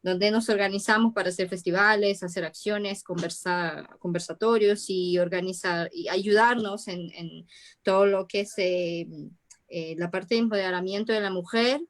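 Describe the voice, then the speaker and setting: A woman's voice: mid-range voice, normal speed. Brazilian woman talking spanish on a panel, chill, lots of ehs and little laughs. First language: Portuguese. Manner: relaxed, laughs easily, many fillers. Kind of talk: casual panel talk.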